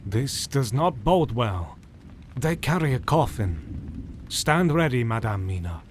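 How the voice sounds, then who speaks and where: An actor playing a learned elderly doctor who hunts vampires, speaking formally and measuredly. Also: male voice, medium pitch, big pitch swings, measured pace, slight background noise. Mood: worried. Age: older. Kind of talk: audio drama acting.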